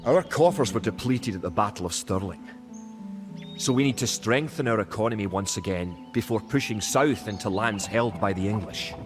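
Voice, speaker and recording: An actor playing a solemn, resolute medieval Scottish soldier, narrating a video game war campaign in a grave, measured storytelling manner. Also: male voice, fairly low pitch, natural voice. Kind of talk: dramatic scripted historical narration. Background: music.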